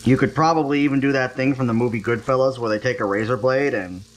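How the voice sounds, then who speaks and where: A man's voice: mid-range voice, fast talker, rough recording. Wry, chatty man cooking at home. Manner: wry, self-deprecating, chatty asides. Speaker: cooking show host. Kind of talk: casual cooking demonstration. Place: home kitchen, stovetop.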